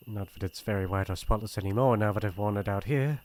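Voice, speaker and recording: A man with a British accent playing a shy, earnest young crown prince in an ASMR roleplay, speaking in formal, hesitant, gentle phrases. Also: on the low side, natural voice. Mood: downcast. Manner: formal courtly phrasing, hesitant and soft-hearted.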